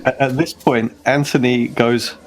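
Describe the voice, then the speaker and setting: Man, medium-pitched voice, tabletop player, casual chat, describing character actions. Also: flat delivery, measured pace, heavy background noise.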